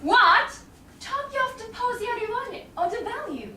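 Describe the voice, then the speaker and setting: Feisty, scornful, high-pitched young wife acted by a woman. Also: lively intonation, moderate pace, pushed and bright, heavily acted. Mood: scornful anger. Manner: exclaiming, scornful. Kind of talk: staged Shakespeare reading. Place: small indoor theatre stage. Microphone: far audience camera. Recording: roomy, noisy distant audience camera.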